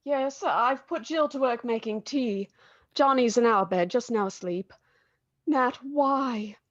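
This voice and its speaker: A woman's voice: fairly high pitch, takes it slowly, highs cut off. A woman acting in a radio drama as an anxious, tender wife and mother.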